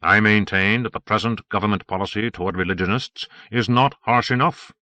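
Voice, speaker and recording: An opinionated padre played by a male narrator holds forth pompously. The delivery is declamatory and forceful, in storybook style, on a dry recording. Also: fairly low pitch, steady tone, a little fast, no echo. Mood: pompous argument. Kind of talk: audiobook character acting.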